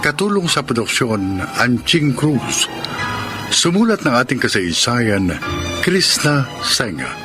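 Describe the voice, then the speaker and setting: Measured, expressive Filipino male radio announcer. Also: medium pitch. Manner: measured, dramatic. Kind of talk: formal scripted radio announcing. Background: music.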